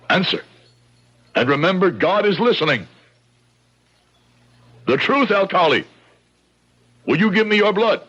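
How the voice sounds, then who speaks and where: A man's voice: fairly high pitch, a bit bright, full character voice. An actor in an old-time radio drama playing a proud, vengeful, grieving man who declaims with heavy emphasis and repeats his phrases. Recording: dull, noisy old radio recording.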